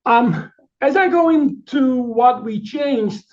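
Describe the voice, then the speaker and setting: A middle-aged man from the Netherlands with a Dutch accent, giving a webinar presentation in a halting way, full of fillers and restarts. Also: extremely high pitch, lively intonation, muffled recording. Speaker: chief marketing officer presenting.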